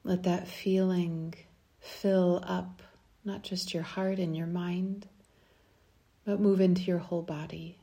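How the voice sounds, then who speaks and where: A woman guides a meditation in a low, mellow voice with creaky edges. She speaks softly and soothingly, leaving long pauses between short phrases. Her delivery stays very even.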